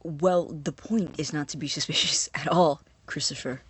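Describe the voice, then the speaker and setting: Husky, stammering young scientist. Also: male voice, a little high, fairly bright tone, no echo. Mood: defensive, flustered. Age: young adult. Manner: stammering, halting, nervous. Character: nervous, obsessive young male student scientist, awkwardly eager. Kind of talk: naturalistic audio drama acting.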